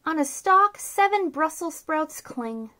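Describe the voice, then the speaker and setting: Bubbly high-voiced woman reading to kids. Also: animated, slow pace, bright, forceful tone. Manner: bubbly, sing-song talk to children. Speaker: children's story time host. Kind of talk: reading a picture book aloud to kids. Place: small decorated room indoors.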